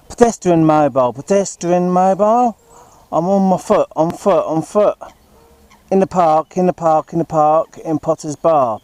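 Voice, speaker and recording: A man's voice: a little high, a little mellow, dry recording. A man making a CB radio call, giving phonetic callsigns and repeating his phrases for clarity. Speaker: amateur CB radio operator on foot. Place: outdoors in a park. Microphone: handheld CB radio.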